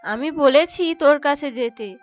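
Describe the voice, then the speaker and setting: Woman as nagging cartoon mother, high-pitched, wheedling, complaining, dull recording, music behind. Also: moderate pace, close to an everyday voice. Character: nagging, needy Bengali mother of grown son. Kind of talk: cartoon voice acting.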